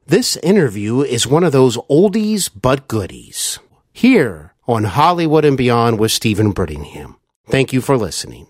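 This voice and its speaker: A man's voice: medium pitch, sing-song, measured pace. A man hosting an interview podcast, introducing his show. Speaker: podcast host.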